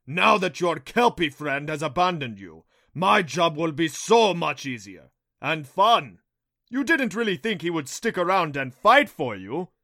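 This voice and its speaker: A man's voice: fairly high pitch, very animated, vocal fry, bright, forceful tone, dry recording, clean studio sound, voice pushed up, exaggerated voice. Man voicing a gloating, theatrical fanged beast. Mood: jeering. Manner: taunting, mock-sorrowful, drawn-out delivery. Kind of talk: audiobook character voice for a fantasy story.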